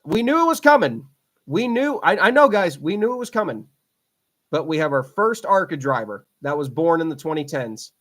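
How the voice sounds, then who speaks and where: A man's voice: fairly high pitch, big pitch swings, moderate pace, a bit bright. Chatty young man hosting a racing podcast. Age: 20s. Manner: rambling, repeats himself, frequent fillers. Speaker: podcast host. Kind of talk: casual solo podcast commentary.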